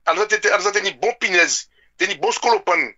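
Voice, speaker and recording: Male radio talker, French Caribbean accent, quick and high-voiced, impassioned, repeats himself for emphasis. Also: bright, forceful tone, highs cut off. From French Antilles. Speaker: radio show speaker. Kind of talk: impassioned informal political discussion.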